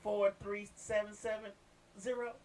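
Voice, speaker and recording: A woman's voice: mid-range voice, flat delivery, unhurried, a little mellow, recorded from a distance, rough recording. A woman chiming in casually as a sidekick on a radio show, away from the microphone.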